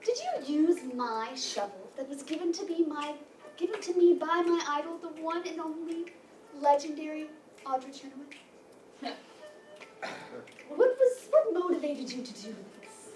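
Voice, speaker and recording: A woman's voice: sits high, animated, a little slow, a little mellow. A woman in a staged play reading playing a pompous older sister who fancies herself a grand stage actress, with dramatic enunciation. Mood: indignant, haughty. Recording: roomy, distant mic, faint noise.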